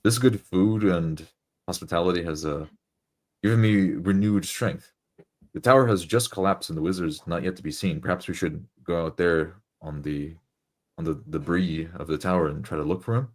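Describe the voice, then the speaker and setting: A man playing a tabletop roleplaying game, improvising in character with deliberate, formal phrasing. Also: deep voice.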